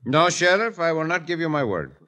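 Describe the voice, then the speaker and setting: An actor playing a suave, courteous Mexican caballero hero with in a 1950s radio western. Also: male voice, a little high, very animated, fairly quick, bright, forceful tone. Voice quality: smooth, courteous, formal. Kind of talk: 1950s radio drama acting.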